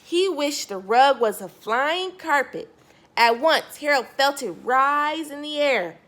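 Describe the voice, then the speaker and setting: A woman's voice: sits high, expressive, takes it slowly, a bit bright. A woman reading a picture book aloud to young children, expressively, stressing key words and speaking directly to her listeners.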